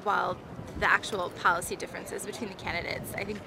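A woman's voice: lowish voice, little pitch movement, fast talker, gravelly, noisy background. A young woman in her twenties answering interview questions thoughtfully. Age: 20s. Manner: thoughtful, with fillers and restarts. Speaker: graduate student at rally. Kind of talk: street interview.

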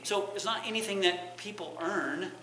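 Middle aged guy preaching a bible lesson, kinda high voice, roomy echo. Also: normal speed, pushed and bright. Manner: explanatory, repeats and rephrases points. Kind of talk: teaching sermon. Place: church sanctuary, indoors. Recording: echoey church room, clear background noise.

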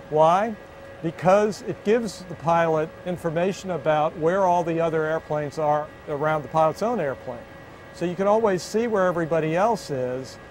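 An American man in his sixties gives a measured, methodical explanation to camera. His voice sits a little higher than most men's. He is on a lapel mic, with faint ambient noise behind him. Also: darkish tone, rough recording. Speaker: aviation official and pilot. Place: inside an aircraft cabin.

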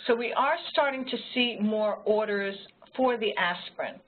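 A woman's voice: medium pitch, normal speed. Woman panelist on a noisy phone line. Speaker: panelist, home health professional. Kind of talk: answering audience questions informally. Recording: phone-like line with faint noise.

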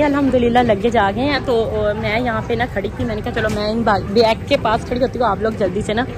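High-voiced Pakistani woman vlogging in loud crowds. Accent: Pakistani Urdu. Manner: lively, talks straight to viewers, often adds a polite "ji". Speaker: family vlogger. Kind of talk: casual chatty vlog narration to the camera. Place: busy airport, parking and terminal. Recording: loud crowd and traffic noise.

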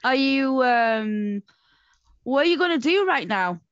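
Upbeat woman podcast host, portuguese first language, kinda high voice, lo-fi audio. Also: animated, unhurried, no echo. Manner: chatty, upbeat, many fillers. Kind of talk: casual interview chat. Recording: dull dry lo-fi audio.

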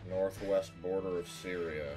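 Deep-voiced male streamer, slow delivery. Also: steady tone, rough recording. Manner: joking, profane. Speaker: game streamer. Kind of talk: casual reaction commentary. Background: game music.

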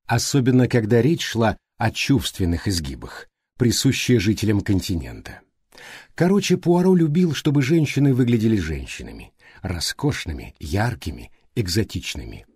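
A man narrating an audiobook, reading literary prose in a measured, deliberate way. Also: lowish voice, very animated, a little mellow, husky, no echo, studio quality.

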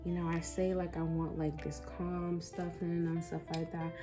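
A woman's voice: fairly low pitch, level tone, normal speed, music in the background. A 20-year-old woman chatting casually and candidly in a personal vlog, rambling reflectively in a bedroom. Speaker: vlogger. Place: small bedroom, indoors.

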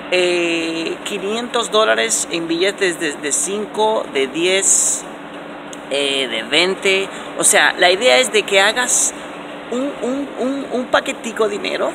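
Man in his 40s, self-help vlogger, gravelly mid-range voice, emphatic and repetitive, phone mic, station hum behind. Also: slow. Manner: animated and repetitive, many restarts, stressing words with rising pitch. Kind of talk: casual motivational vlog. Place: indoor underground transit station, concrete walls. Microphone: phone camera held close at arm's length. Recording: noisy phone recording in a station.

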